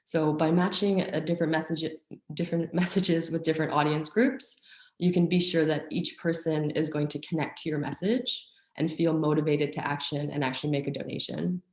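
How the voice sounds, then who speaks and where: A young woman with a deep, low voice gives a conference talk while nursing a cold. She keeps it upbeat, with plenty of ums. The room around her rings with echo. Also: fairly even intonation, fairly quick, darkish tone. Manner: upbeat, filler-heavy presenting. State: has a cold and cough. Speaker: nonprofit communications officer. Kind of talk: online conference presentation.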